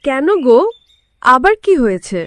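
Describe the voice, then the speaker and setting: Cartoon village wife female performer: practical, homely, high-pitched, crisp, closely recorded. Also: sing-song, unhurried, dry recording. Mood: chiding, practical. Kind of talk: Bengali cartoon voice acting.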